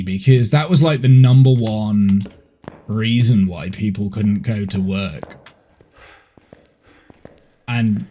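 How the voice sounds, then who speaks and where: A man's voice: mid-range voice, dark tone. Congested man chatting over a game. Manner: chatty, rambling, restarting his sentences. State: congested, with a head cold. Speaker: streamer. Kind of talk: casual gameplay chat on a live stream. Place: at home.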